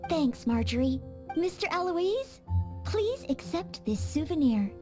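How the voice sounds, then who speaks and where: A woman's voice: sits high, very animated, unhurried, music in the background. A woman voicing a polite, earnest young maid knight in a game, courteous and eager to serve.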